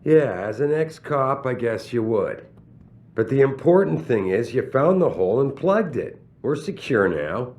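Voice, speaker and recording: An actor playing a proud, evasive middle-aged company boss in a video game, speaking emphatically with drawn-out stresses. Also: male voice, mid-range voice, big pitch swings, darkish tone. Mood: dismissive. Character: commanding, evasive, proud corporate boss man. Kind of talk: video game cutscene acting.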